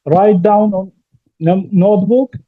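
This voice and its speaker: A man's voice: high-pitched, fairly even intonation, slow, dry recording, phone-quality sound. A man, an English teacher, giving emphatic advice to learners in an interview, repeating his key points.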